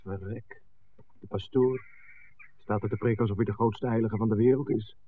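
An actor playing a rough, sneering underling to a crime boss in a 1960s radio drama. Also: male voice, fairly low pitch, fairly even intonation, moderate pace, slight background noise, close to an everyday voice. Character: rough male henchman, sneering and jumpy underling. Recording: tinny, narrow old radio recording.

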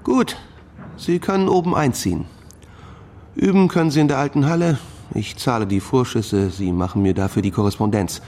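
An actor playing a brisk, businesslike man striking a bargain in a German radio crime drama. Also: medium pitch, darkish tone, close to an everyday voice.

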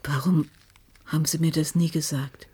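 An actress playing a serious, troubled and sceptical woman expert in a German radio play, husky and guarded, with a questioning manner. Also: low voice, fairly even intonation, normal speed, mellow. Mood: troubled, doubting. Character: serious, sceptical adult female technology expert, troubled by conspiracy.

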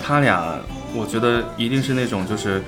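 A man in a casual chat, jokey and animated, quick to jump in. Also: fairly low pitch. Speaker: voice actor as guest. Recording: prominent background music. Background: music.

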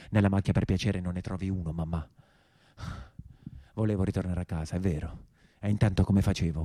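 An actor playing an embittered imprisoned peasant brigand in a theatrical monologue, speaking emphatic, repetitive dialect with a southern Italian accent. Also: male voice, deep voice, mellow, no echo, studio quality. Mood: plaintive, embittered.

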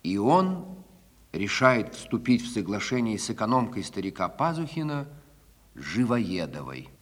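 A man narrates as a drama announcer, reading a scripted introduction at a slow pace. His voice carries a long reverb. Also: fairly low pitch, big pitch swings, a bit bright. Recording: long reverberant hall sound.

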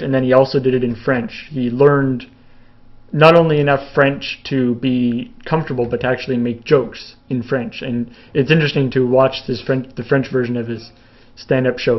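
A young man from Canada chats casually while he answers viewer questions. His voice sits at an ordinary middle pitch and wanders through fillers and restarts. The sound is a bit dull and lo-fi. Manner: rambling, many fillers and restarts, laughs a little. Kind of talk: casual live Q&A chat.

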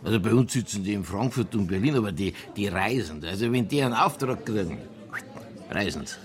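An actor as a lively-pitched gruff Bavarian inspector. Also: male voice, a little fast, natural voice. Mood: curt, musing. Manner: grumbling, colloquial, offhand. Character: gruff, work-shy small-town German police inspector. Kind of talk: naturalistic radio drama acting.

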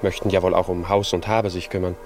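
An actor playing a sober, chivalrous gentleman in a 1950s German radio drama, speaking in a formal, measured way. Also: low-pitched, flat delivery, soft background music.

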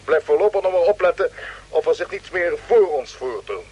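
Commanding space fleet leader, husky voice, brisk orders, vintage radio drama, muffled sound. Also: male voice, a little high, expressive, a little mellow. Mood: brisk, in control. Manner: brisk, authoritative, giving orders. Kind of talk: old radio drama acting.

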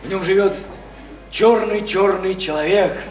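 An actor playing a bewildered, awkward young man in a radio drama, stammering and halting over his words. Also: sits high, echoey room, far from the mic, rough recording. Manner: stammering, halting, drawn-out delivery. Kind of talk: stage-style radio drama acting.

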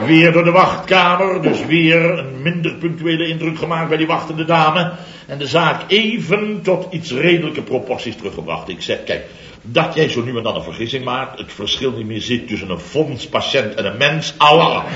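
A pompous, self-important boss of a building firm, who boasts and chuckles his way through every tale. His voice sits a little high. It sounds like a dull, roomy old radio comedy recording. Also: expressive, normal speed, fairly bright tone, slight background noise. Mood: smug. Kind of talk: 1960s radio comedy acting.

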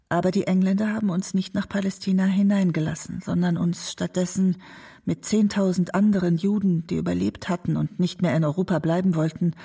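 Husky, creaky-voiced old mother remembering. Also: on the low side, dark tone. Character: elderly Holocaust survivor mother recalling her past, grave. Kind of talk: radio drama monologue, narrated memoir.

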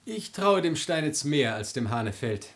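Frank, higher-voiced young gentleman. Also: big pitch swings, normal speed. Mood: frank, firm. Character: breezy, candid, good-hearted young gentleman. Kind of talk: classic German radio play acting.

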